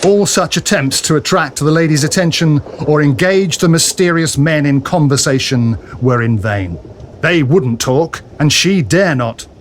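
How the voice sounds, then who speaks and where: A man's voice: a little high, lively intonation, measured pace, a little hiss or hum. A man giving a dramatic scripted narration of a period adventure story. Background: music.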